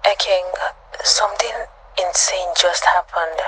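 An anxious, hushed young caller. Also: male voice, sits high, fairly even intonation, unhurried, a little hiss or hum, poor audio. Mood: alarmed. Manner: urgent, hushed, shaken. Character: anxious young man named Timmy. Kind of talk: film drama acting.

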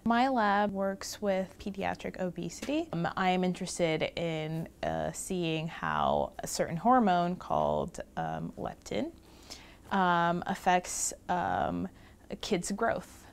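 A young woman from Puerto Rico, a research scholar, talks about her work in a documentary interview, pausing often with ums between phrases. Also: on the low side, sing-song, gravelly. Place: office lined with bookshelves.

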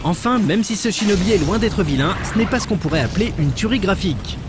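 A man reading a scripted video game review in a brisk, lively manner. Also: sits high. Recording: voice-over with loud music bed.

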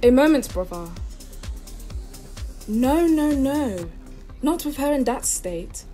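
A suspicious, exasperated sister in a Jacobean audio play, performed by a woman. Her voice is high and very animated, taken slowly, with soft music behind it. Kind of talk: Jacobean audio drama, theatrical.

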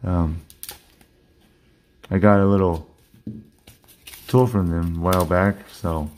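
A man talks loosely through an unboxing at his desk, restarting sentences as he goes. His voice sits a little low and is worn, as if he is losing it. He sounds like a hobby channel host chatting to his viewers. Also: steady tone, slow, darkish tone. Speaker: card collecting channel host. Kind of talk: casual unboxing vlog. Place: indoor collection room at a desk.

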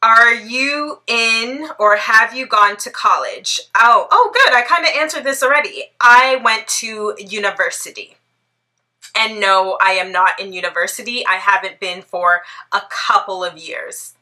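Woman, Canadian accent, 30s, very bright voice, playful casual vlog chat, laughs a lot. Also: mid-range voice, measured pace. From Toronto, Canada. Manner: chatty, laughs often, playful. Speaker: vlogger. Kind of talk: casual Q&A to camera. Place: bright living room indoors.

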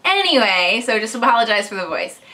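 A woman's voice: a little high, very animated, a little fast, pushed and bright, rough recording. A woman in her thirties, stuffy from a cold, hosting a casual crafting tutorial vlog straight to camera at home. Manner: chatty and upbeat, talks straight to camera. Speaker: crafting channel host. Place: small room indoors at home.

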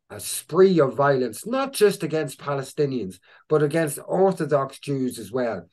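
A middle-aged Irish man talks through news clips on a video call, sounding openly opinionated. He often restarts his sentences and leans on fillers as he goes. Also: medium pitch. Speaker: co-host presenting news clips. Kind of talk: casual commentary on a video call. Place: indoor room with a virtual backdrop. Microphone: video call webcam.

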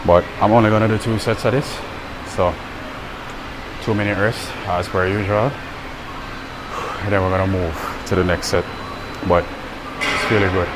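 Male fitness vlogger, thirties, low-pitched and easygoing, casual delivery recorded against heavy background noise. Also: steady tone, normal speed. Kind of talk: casual vlog chat. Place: car at night, then a large indoor gym. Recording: loud ambient noise, rumbling ventilation.

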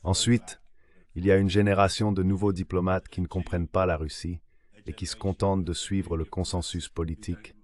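Very deep synthetic male voice. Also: flat delivery, brisk, a little mellow. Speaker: translated voice-over of an interview guest. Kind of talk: scripted translation voice-over.

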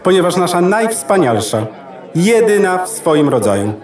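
A man's voice: sits high, sing-song, normal speed. A man playing a pompous computer part as master of ceremonies in a children's audio drama, speaking grandly. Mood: ceremonial. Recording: echoey, distant, party crowd behind.

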